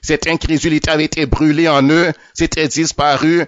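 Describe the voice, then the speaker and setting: A man with a fairly high voice gives a religious testimony in a plain, unscripted way, often stumbling and saying words twice before he goes on. The recording is dry and close, with a dull, lo-fi sound. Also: level tone, no room sound. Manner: repeats words often, halting restarts.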